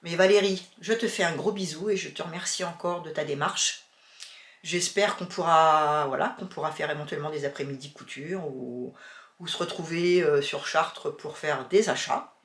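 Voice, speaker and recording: A woman's voice: low voice, moderate pace, a bit bright. Chatty woman with a cold, echoey room. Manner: chatty, hesitant, many fillers and restarts. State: has a cold, sniffling. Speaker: sewing and knitting YouTuber. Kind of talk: casual chat to camera.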